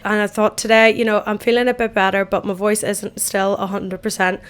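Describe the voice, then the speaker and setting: Croaky Irish woman chatting from home. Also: mid-range voice, flat delivery, a little fast. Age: 20s. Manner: chatty, rambling and self-deprecating. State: croaky from a night out. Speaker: podcast host. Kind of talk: casual solo podcast chat. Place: empty bathtub at home.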